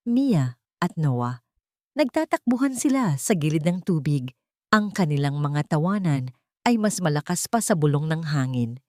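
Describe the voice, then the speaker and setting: A woman narrating a scripted first-person romance story in a measured, dramatic and sentimental way. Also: lowish voice, a little mellow, dry recording, studio quality.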